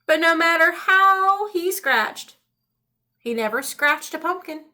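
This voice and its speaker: A woman reading a children's storybook aloud in an animated narrating style. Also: very high-pitched, slow. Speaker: storybook reader.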